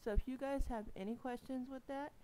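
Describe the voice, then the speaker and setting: A woman's voice: high voice, fairly even intonation, fairly quick, warm tone, a little hiss or hum, rough recording. A woman speaking bluntly and chattily to camera beside her husband in a casual home video. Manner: chatty, blunt, a bit self-conscious. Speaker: vlogger's wife.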